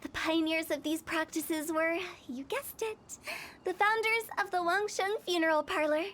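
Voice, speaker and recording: A woman's voice: very high-pitched, moderate pace, a bit bright, a little hiss or hum. A woman voicing a confident, theatrical young funeral director in a video game. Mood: earnest, storytelling. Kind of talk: English video game voice acting. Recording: game music playing behind.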